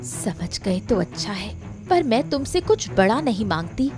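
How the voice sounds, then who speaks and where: A woman voicing a long-suffering elder daughter-in-law in an animated story, wounded, resentful and plaintive. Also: mid-range voice, unhurried, music in the background. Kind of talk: melodramatic animated story acting.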